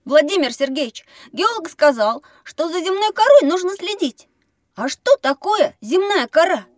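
High, animated, curious little boy. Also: moderate pace, no room sound, clean studio sound. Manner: inquisitive, childlike. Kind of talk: educational audio drama.

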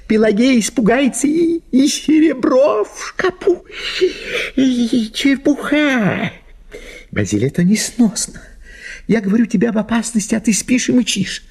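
A man's voice: sits high, vocal fry, darkish tone, breathy edge, voice pushed up, exaggerated voice. A man voicing a hysterical, prudish wife who pleads and scolds melodramatically in an audio play. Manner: breathless, pleading, melodramatic exclamations. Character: hysterical, prudish, indignant wife at a country house.

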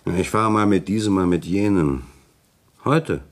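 A man's voice: low voice, normal speed, natural voice. An actor playing a courteous, talkative village notary in a German radio play, polite and formal in his lawyerly wording. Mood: matter-of-fact, self-assured.

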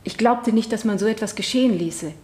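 A woman's voice: fairly high pitch, a little fast, mellow, roomy sound, natural voice. An actress playing the earnest wife of an accused man, giving simple, sincere testimony in a German radio drama. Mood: earnest recounting.

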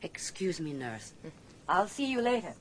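Low-voiced, elegant wife. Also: female voice, big pitch swings, measured pace, noisy background. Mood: cool. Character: elegant, brittle archaeologist's wife, gracious yet uneasy. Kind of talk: radio drama acting.

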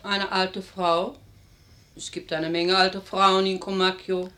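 A woman's voice: medium pitch, flat delivery, slow pace, bright, forceful tone. An actress playing an older, poor village mother, insistent, motherly and reproachful, with plain questioning speech in a German radio play. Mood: puzzled.

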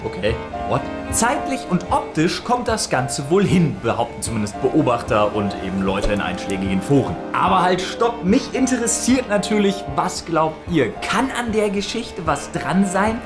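A woman's voice: lowish voice, big pitch swings, normal speed, music in the background. A woman with a German accent presenting to online followers in a chatty, flippant, exclamatory way.

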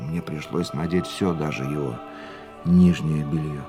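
An actor in a radio drama playing a weary, haunted war veteran of about forty, reflective and measured, with brooding pauses. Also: male voice, very deep voice, fairly even intonation, mellow. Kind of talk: radio drama acting, dialogue and monologue. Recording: studio radio play with music behind.

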